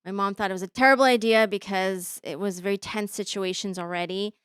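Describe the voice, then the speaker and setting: Woman, Bosnian native speaker, 30s, very clear, dry sound, reflective interview talk. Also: mid-range voice, steady tone, brisk, fairly bright tone, no room sound. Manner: thoughtful, many fillers. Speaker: interview guest, scholar. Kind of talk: conversational interview.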